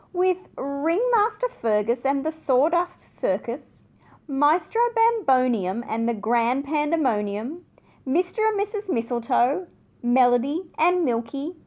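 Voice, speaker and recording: A woman reading a children's picture book aloud with expressive, dramatic emphasis. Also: sits high. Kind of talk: scripted children's story narration.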